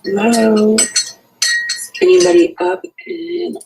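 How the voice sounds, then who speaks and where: A woman's voice: on the high side, big pitch swings, takes it slowly, creaky, mellow, a little hiss or hum, rough recording. A sleepy woman hosting a late-night casual live stream from home, greeting each viewer by name. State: sleepy, just out of bed. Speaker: homestead channel host.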